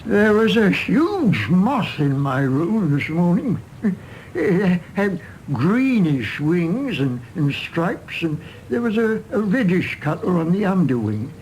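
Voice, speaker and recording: Doddering old uncle, slow. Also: male voice, high-pitched, sing-song, darkish tone, slight background noise, full character voice, rough recording. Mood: musing. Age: older. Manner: vague, halting. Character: doddering, mildly curious old English uncle. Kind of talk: British radio drama acting.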